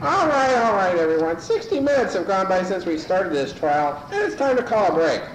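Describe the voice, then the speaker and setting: A woman's voice: medium pitch, big pitch swings, normal speed, lightly acted. A woman playing an officious, slightly hesitant judge in an amateur radio comedy. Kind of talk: amateur old-time radio comedy acting. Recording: echoey room, faint noise.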